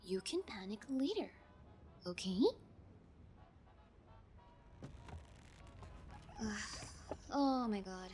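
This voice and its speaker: A woman's voice: medium pitch, expressive, a little mellow, soft background music, close to an everyday voice. A woman playing a callous, playfully menacing kidnapper in an ASMR roleplay, talking in a taunting, nonchalant way. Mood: annoyed. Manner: taunting, nonchalant, slow and deliberate. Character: callous female yangire kidnapper, playfully menacing, bullying sociopath. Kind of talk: ASMR audio roleplay acting.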